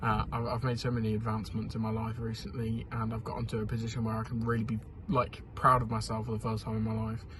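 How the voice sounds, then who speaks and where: A man's voice: on the low side, completely flat delivery, fast, few pauses, fairly bright tone, a little hiss or hum. A 21-year-old man, talking candidly and rambling in a casual vlog diary while ill with a sore throat and sniffles. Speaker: vlogger.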